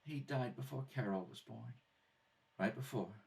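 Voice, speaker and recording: A man's voice: medium pitch, moderate pace, creaky, close to an everyday voice. A man voicing a proud, earnest young boy who is protective of his family in a radio drama read-through. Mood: subdued, earnest. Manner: earnest, insistent. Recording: distant mic in a home kitchen.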